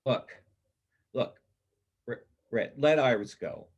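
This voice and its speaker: A man's voice: medium pitch, slow, husky. A man in an amateur reader's theater play, acting an earnest, wry man who is willing to sacrifice himself. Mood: pleading, resigned.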